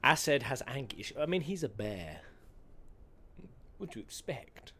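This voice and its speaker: A man's voice: medium pitch, big pitch swings, normal speed, bright, forceful tone, a little hiss or hum. A man giving casual, playful, sweary and theatrical reaction commentary as he streams game cutscenes. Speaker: streamer, gaming host.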